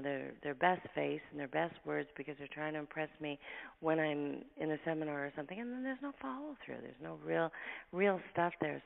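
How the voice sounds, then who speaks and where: A woman hosting a radio show, talking fast and effusively in a husky voice, tired from travel. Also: deep voice. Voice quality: husky, gravelly voice. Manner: fast, effusive talker, laughs often. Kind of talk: casual radio interview chat. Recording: tinny phone line with long reverb.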